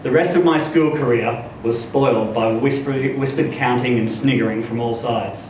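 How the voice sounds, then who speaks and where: A man giving an expressive live reading of a first-person literary story, acting out the dialogue as he goes. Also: mid-range voice, normal speed. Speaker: story reader. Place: live reading event in an echoey room. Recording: echoey room, distant mic, noisy.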